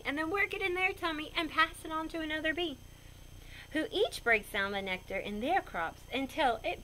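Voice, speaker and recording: A woman reading a children's picture book aloud in a goofy, expressive way with chatty asides. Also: high voice, a little hiss or hum, low-quality recording. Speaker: storybook reader.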